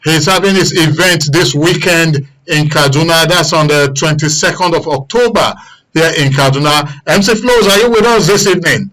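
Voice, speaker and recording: A Nigerian man hosts a live radio phone-in with a bright, forceful voice pitched fairly high. He is courteous and brisk, steering callers and guests along. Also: poor audio. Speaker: radio and TV host. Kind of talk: live current-affairs phone-in hosting.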